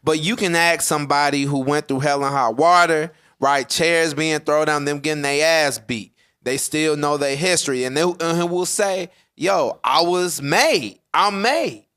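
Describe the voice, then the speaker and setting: A man's voice: fairly high pitch, unhurried, clean tone. A man co-hosting a podcast, explaining ideas step by step and checking in with "right?". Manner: explanatory, ends points with "right?", builds to loud emphasis. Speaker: podcast co-host. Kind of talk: casual podcast discussion.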